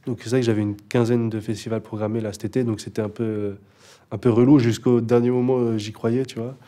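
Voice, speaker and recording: A man's voice: mid-range voice, little pitch movement, fairly quick, warm tone. A young man, a rapper, chatting casually in an interview, laid-back and hesitant, with many fillers and restarts. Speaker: rapper guest.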